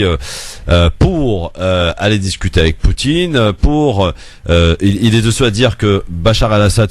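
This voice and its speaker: A man's voice: low voice, a little mellow, dry recording. A male radio host moderating a debate, firm about turn-taking and hesitant with many filler sounds as he explains.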